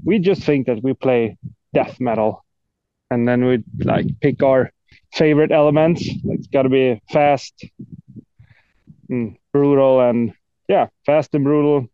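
A man's voice: mid-range voice, a little slow, pauses often, warm tone, smooth, no room sound, dull audio. A man from Sweden with a Swedish accent chats casually as an interview guest, in a hesitant, self-deprecating way. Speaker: guest, death metal guitarist.

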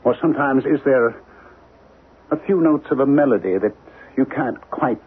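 An actor playing an obsessed, frightened husband in a 1970s radio drama, speaking earnestly and urgently with dramatic pauses. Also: male voice, mid-range voice, slightly rough, narrow, tinny audio. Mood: probing, intense. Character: obsessed, frightened husband convinced of alien invasion. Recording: noisy, band-limited 1970s radio broadcast.

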